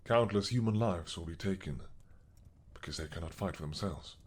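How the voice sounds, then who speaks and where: A man voicing an old, once-mad dragon king who is possessive and doting, speaking slowly with a tender, teasing manner in an audio roleplay. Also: sits low, husky.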